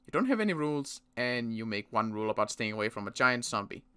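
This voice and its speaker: World-weary man, bright crisp voice. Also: mid-range voice, very animated. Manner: halting, restarts often, sighs, world-weary. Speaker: roleplay player and channel host. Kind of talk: in-character Minecraft roleplay.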